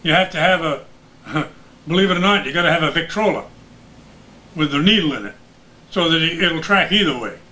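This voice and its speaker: A man's voice: a little high, lively intonation, a little fast, pauses often, sharp tone, low-quality recording. An older man, a radio veteran, casually reminiscing among friends. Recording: clear background noise.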